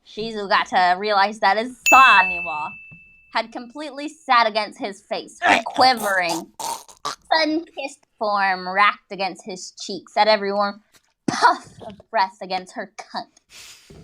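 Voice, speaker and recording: A woman's voice: mid-range voice, measured pace, pushed and bright, a little hiss or hum, low-quality recording. A woman giving a comic dramatic reading of fan fiction, theatrical and jokey, often breaking off to comment.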